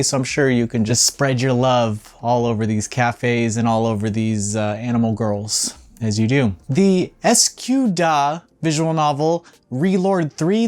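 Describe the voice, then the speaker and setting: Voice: medium pitch, a little mellow. Casual, wry video game news host. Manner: wry, offhand asides. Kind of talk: casual news rundown with off-the-cuff commentary.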